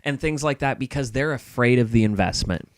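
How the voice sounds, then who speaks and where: A man's voice: mid-range voice, fairly quick, no room sound, studio quality. A man giving wry opinions as a guest in a casual podcast chat.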